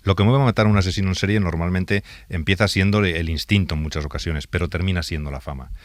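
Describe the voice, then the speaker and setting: A police inspector from Spain with a Spanish accent, answering interview questions hesitantly, with many fillers. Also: male voice, low-pitched, quick pace, no room sound, clean studio sound.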